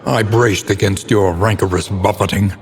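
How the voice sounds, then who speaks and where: An actor playing a grave, erudite older scholar in a horror game, narrating a dark occult past with solemn, deliberate, ornate diction. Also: male voice, lowish voice, fairly even intonation, close to an everyday voice. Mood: grave, brooding. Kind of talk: dramatic scripted gothic narration. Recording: long cavernous reverb.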